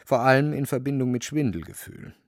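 Knarrender männlicher Hörspielerzähler. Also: male voice, mid-range voice, a little fast, no echo, studio quality. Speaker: narrator. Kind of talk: dramatic literary radio play narration.

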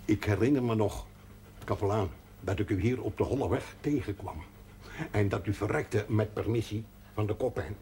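An actor playing a stubborn, proud country folk healer, devout and earthy, blunt and stammering when pressed, in a television drama. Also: male voice, fairly low pitch, normal speed, warm tone, close to an everyday voice. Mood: self-assured. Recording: noisy old television soundtrack.

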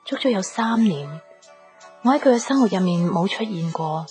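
An actress playing a gentle, forbearing young woman in love in a Cantonese radio drama, speaking with a Hong Kong accent. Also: mid-range voice, lively intonation, moderate pace, soft background music, natural voice. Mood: wistful, lonely.

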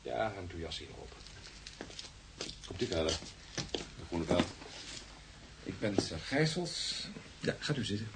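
An actor in a radio drama playing a calm, courteous adviser who speaks in a polite, modest, measured way. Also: male voice, deep voice, very noisy, full character voice. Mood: welcoming. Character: calm, courteous male adviser receiving a nervous client.

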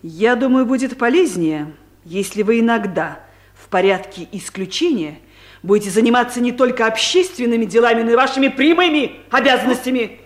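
An actress playing a stern, commanding female director in a radio play, formal and categorical, with measured phrasing. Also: fairly high pitch, expressive, lightly acted. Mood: cold, reproving. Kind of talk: Soviet radio play acting.

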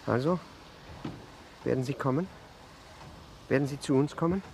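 An actor playing a fawning, anxious, henpecked husband who stammers and pleads hesitantly in a 1960s radio drama. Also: male voice, medium pitch, moderate pace, vocal fry, a little mellow, lightly acted. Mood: anxious pleading. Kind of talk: 1960s German radio play acting. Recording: slightly noisy vintage radio recording.

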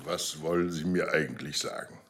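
An actor playing a gruff, impatient senior government minister in a German radio drama, speaking in curt, blustering bursts. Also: male voice, extremely low pitch, moderate pace, fairly bright tone, a little hiss or hum.

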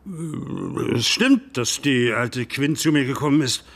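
A man acting in a radio drama as an older, guilt-ridden small-town police chief, halting and agitated. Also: medium pitch, lively intonation, breathy edge, full character voice. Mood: evasive. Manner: halting, agitated, breaking into pleading.

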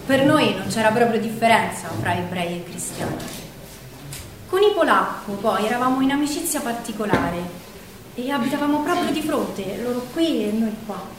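A woman's voice: a little high, expressive, moderate pace, close to an everyday voice. An actress playing a Roman mother who recounts wartime memories in an earnest, dramatic stage monologue. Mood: grave, recollecting. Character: Roman mother recalling saving a neighbour's child in wartime. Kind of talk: theatrical first-person monologue, storytelling. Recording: echoey room, distant mic, noisy.

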